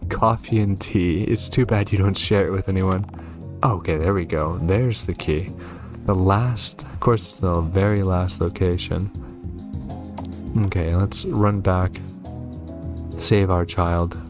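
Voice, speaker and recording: A man with a low voice plays through a game on a recording with faint music behind him. He reads the on-screen text aloud in a dramatic way and drops in short comments as a host. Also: steady tone, slow pace. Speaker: gaming video host.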